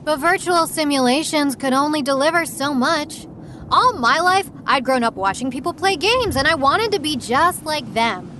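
A young demon-hunting streamer girl, high lively voice, scornful and fearless, audio drama. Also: moderate pace, a little hiss or hum. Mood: proud, callous. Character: cocky, defiant young female streamer who hunts demons.

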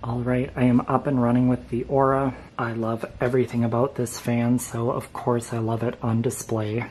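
A man's voice: mid-range voice, flat delivery, normal speed, a little mellow, slight background noise, low-quality recording. A man giving casual, matter-of-fact updates in a vlog about his collection. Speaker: vlogger showing a fan collection. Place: basement display room with exposed joists.

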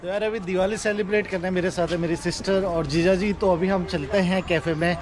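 A man in his thirties hosts a casual vlog, slipping in English words. His voice sits slightly high for a man. Loud street and room ambience with vehicle noise surrounds him on the handheld camera. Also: steady tone, fairly quick. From India. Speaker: vlog host. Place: busy cafe and roadside, indoors and outdoors. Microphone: camera held at arm's length. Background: loud urban ambience, vehicles.

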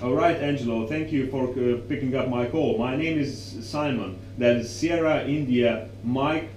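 A young man presents a radio demonstration. His voice is dark and soft-edged, at a middle pitch. The small room adds echo, with a faint hum behind him. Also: moderate pace. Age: young adult. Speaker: presenter, amateur radio operator. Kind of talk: instructional demonstration with radio calls.

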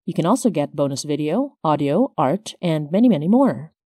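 A woman's voice: deep voice, lively intonation, normal speed, dark tone, slightly rough, no room sound, studio quality. A woman hosting her podcast, reading scripted announcements as its creator.

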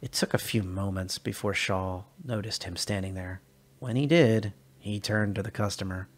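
A man reading a sci-fi short story aloud as narrator, expressive with small slips. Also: on the low side, moderate pace. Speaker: reader.

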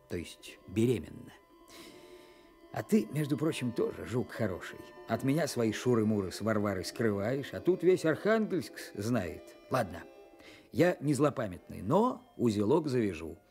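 A man's voice: lowish voice, very animated, a little slow, darkish tone. A man playing a boastful young tractor driver reciting his letter in a radio drama, jaunty, slangy and swaggering. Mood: cocky, jaunty. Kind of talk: Soviet radio drama monologue. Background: music.

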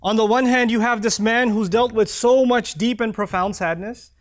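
A man in his thirties giving an expressive Friday sermon in a small prayer hall, reciting verses and then explaining them. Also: sits high, normal speed. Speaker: preacher. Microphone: lapel mic.

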